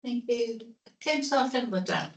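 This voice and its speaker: A woman chairing a committee meeting, calling speakers in a slow, procedural way. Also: a little high, lively intonation, vocal fry, echoey room, far from the mic.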